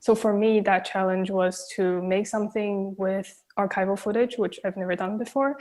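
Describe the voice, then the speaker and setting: A young woman from Taiwan, whose first language is Mandarin, talks through her film in a soft, unhurried way. Her pitch barely moves, and she leans on "kind of" and pauses while she thinks. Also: steady tone. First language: Mandarin Chinese. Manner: soft-spoken, thoughtful, with frequent "um" and "kind of". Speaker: film director guest. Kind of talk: reflective festival Q&A answers.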